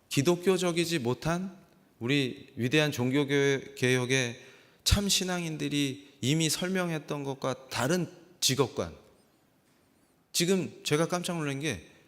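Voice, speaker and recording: A man's voice: on the high side, fairly bright tone. A man in his forties from South Korea giving an impassioned, sermon-like lecture, emphatic and full of rhetorical questions. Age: 40s. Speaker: professor giving a guest church lecture. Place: large church hall. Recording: long echoing hall reverb.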